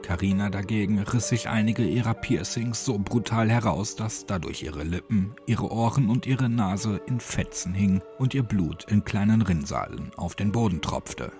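A man's voice: on the low side, normal speed, few pauses, a little mellow. A man reading a scripted horror story as an audiobook narrator. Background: music.

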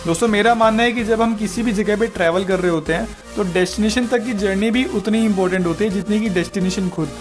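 A man with a high voice narrates a travel video as its host, reading a polished script in a smooth, upbeat tone. He recommends things warmly and confidently. A faint music bed runs under his voice. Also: fast talker, few pauses.

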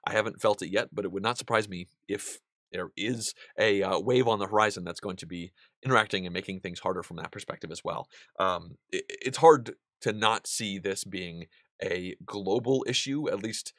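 A man in his thirties hosting a board game channel, seated at a desk in a small studio and answering viewer questions in a relaxed, chatty way with plenty of ums and restarts. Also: low-pitched, normal speed, dry recording. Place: indoor studio room with a wall of game pieces.

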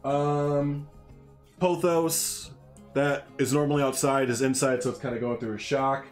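A man's voice: mid-range voice, flat delivery, a little slow, soft background music. A man in his thirties from Northern Illinois with a slight Chicago accent, chatting casually and jokingly with viewers while streaming a building game on a headset at home. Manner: rambling, jokey, chatty with viewers. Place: small room at home.

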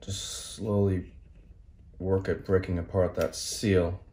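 A man narrating a hands-on repair tutorial in a casual, unscripted way, explaining step by step in a halting manner. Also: low voice, level tone, warm tone. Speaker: tutorial host.

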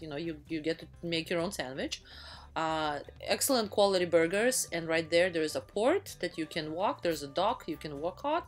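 A woman in her thirties narrating a travel vlog in a casual, enthusiastic voice-over, with frequent fillers and restarts. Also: on the low side. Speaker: travel vlogger. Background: faint background music.

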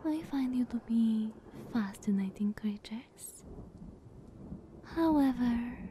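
A woman playing a playful, teasing younger vampire sister in ASMR roleplay, sultry and breathy, often near a whisper, coy and purring. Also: fairly high pitch, a little hiss or hum. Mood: playful, condescending. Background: soft ambience.

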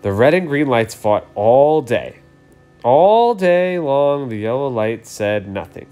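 Man, thirties, children's read-aloud presenter. Simple explanatory delivery, mid-pitched, light music. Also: sing-song, slow, dark tone, smooth. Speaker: teacher reading a story aloud to children. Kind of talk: casual introduction and storybook read-aloud.

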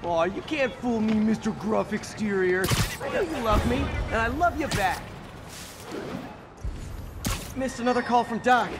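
High-voiced boyish American superhero. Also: male voice, expressive, normal speed. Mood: playful. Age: young adult. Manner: boyish, chatty, hesitant. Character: eager, wisecracking young male superhero scientist. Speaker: video game hero. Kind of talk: naturalistic video game voice acting. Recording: game audio with clear background noise.

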